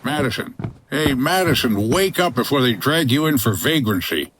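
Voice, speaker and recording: A man playing a gruff, wry 1950s American hotel owner and adventurer, dry and hardboiled, in an old-time radio detective style. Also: medium pitch, animated, moderate pace, fairly bright tone, a little hiss or hum, close to an everyday voice. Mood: brisk, dry.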